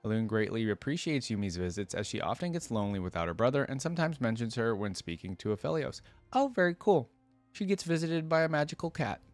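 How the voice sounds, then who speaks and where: A man's voice: medium pitch, no room sound. A man hosting a reaction video, reading wiki text aloud and thinking aloud in an informal, curious way.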